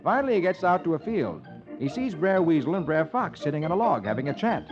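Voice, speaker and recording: Animated American man, vintage radio storyteller. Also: fairly high pitch, fast talker. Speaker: host reading the comics. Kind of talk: 1950s radio storytelling narration for children. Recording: muffled old radio recording, music behind.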